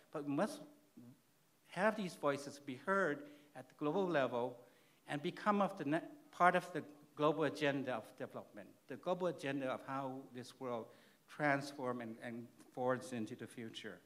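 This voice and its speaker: A man with a Thai accent, the director of a charity, giving an earnest plenary speech at a conference, halting now and then to restart his words. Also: medium pitch, a bit bright. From Thailand. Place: large conference hall.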